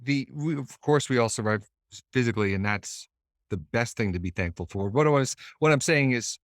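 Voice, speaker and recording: A man's voice: mid-range voice, expressive, normal speed, dry recording, studio quality. A man from Boston, a musician, talking in a thoughtful, meandering way with many restarts as a guest over a video call. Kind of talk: casual interview chat.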